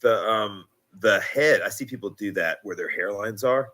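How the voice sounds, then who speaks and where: A man in his thirties, hosting a casual podcast conversation, chatty and quick to laugh, acting out little quotes as he talks. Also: lowish voice, pushed and bright. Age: 30s. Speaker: podcast host.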